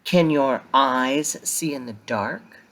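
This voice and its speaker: Man doing a soft caring mama kiwi, slow and gentle. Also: medium pitch, pitched above the performer's own voice. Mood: tender. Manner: soft, reassuring, questioning.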